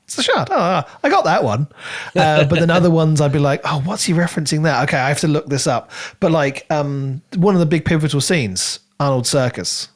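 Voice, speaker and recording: Guy on a book podcast, voice a bit on the high side, chatty, casual. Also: animated, brisk. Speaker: podcast co-host reviewing books.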